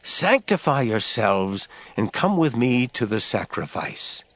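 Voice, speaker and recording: Stern prophet Samuel, dramatically swooping delivery. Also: male voice, medium pitch, big pitch swings, slow, a bit bright, full character voice. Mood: grave. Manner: declamatory, solemn, emphatic. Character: Samuel, stern, grave old male prophet. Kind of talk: dramatized scripture reading. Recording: prominent music bed behind voice.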